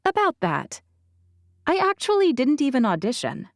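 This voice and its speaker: Woman as a guarded young actress, higher-pitched voice. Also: huge pitch swings, moderate pace, dry recording, clean studio sound, full character voice. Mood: sheepish. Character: guarded, self-effacing young actress recounting her childhood. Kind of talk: audiobook character dialogue.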